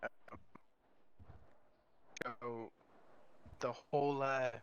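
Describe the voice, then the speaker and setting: A man talking haltingly and casually over online roleplay voice chat, repeating his words. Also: medium pitch, little pitch movement, lo-fi sound, a little hiss or hum. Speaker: fellow roleplayer.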